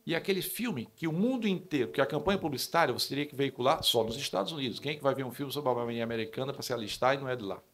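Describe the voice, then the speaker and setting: A man's voice: medium pitch, expressive, very fast, few pauses, a bit bright. A man speaking as an advertising panelist, telling a story in a live discussion. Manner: storytelling, conversational. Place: stage at a public event.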